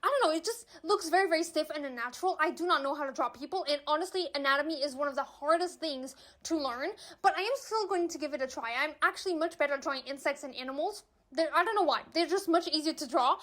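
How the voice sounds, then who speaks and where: A woman's voice: high-pitched, fairly bright tone. A young woman, a native Portuguese speaker, chatting candidly to camera about her writing, with frequent fillers and emphatic asides. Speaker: vlogger, aspiring author. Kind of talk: casual chat to camera.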